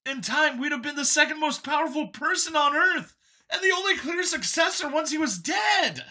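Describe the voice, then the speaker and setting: A smug young male cyborg zealot, acted out by a man, pitched high and piercingly bright. The delivery is grandiose and forceful, with big swings, as in a dramatic sci-fi audiobook. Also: expressive, moderate pace, full character voice. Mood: smug, zealous. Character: fanatical young cybernetic clone, smug, grandiose male machine.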